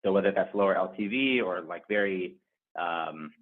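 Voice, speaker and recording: Low-pitched man on a phone-like line. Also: normal speed. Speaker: company executive answering analyst questions. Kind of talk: earnings call answers, unscripted. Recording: narrow, phone-like conference call line.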